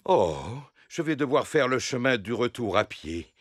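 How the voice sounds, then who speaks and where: A man's voice: mid-range voice, sing-song, a little slow, slight background noise. A man voicing a jolly, easygoing father pig in a children's cartoon dub. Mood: musing. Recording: music behind the voice.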